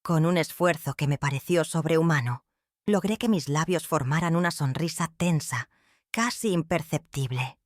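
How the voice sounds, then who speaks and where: A woman reading a first-person dramatic story in a measured, somber way. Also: sits low, dry recording, studio quality.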